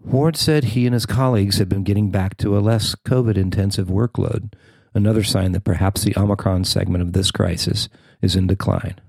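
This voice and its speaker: Low-voiced American man reading news, dry sound. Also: steady tone, fairly quick, creaky, darkish tone, slightly rough, dry recording. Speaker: podcast host and reporter. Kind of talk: scripted news narration.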